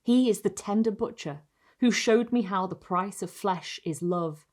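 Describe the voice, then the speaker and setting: A woman reading a gothic short story aloud, measured and expressive, lingering on phrases. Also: mid-range voice. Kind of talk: literary audiobook-style narration of gothic fiction.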